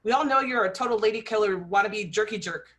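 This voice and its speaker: A woman playing a surly, bossy young woman with a sharp, scolding manner, in a comedy table read. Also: mid-range voice, quick pace, close to an everyday voice.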